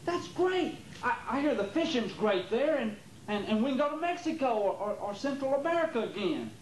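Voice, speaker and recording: A troubled young nephew in a stage drama, acted by a man, loyal and protective of his uncle. His pitch moves animatedly. The sound is an echoey rehearsal tape from a theater. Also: very animated, fairly quick. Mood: relieved, eager. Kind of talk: amateur stage play acting. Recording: roomy, noisy 1980s theater rehearsal tape.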